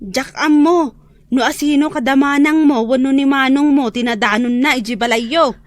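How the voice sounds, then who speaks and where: Woman acting a chatty helpful bestie in a radio drama, high voice, real dry sound. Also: normal speed, no echo, natural voice. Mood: gossipy, urgent. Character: chatty young woman, helpful gossiping best friend.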